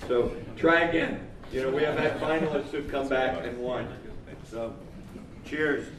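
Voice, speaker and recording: A man from New England hosting a homebrew competition, announcing the winners in a halting, informal speech. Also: mid-range voice, a crowd in the background. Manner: halting, with frequent pauses. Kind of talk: informal speech at a live event. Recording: echoey room, mic far off, faint noise.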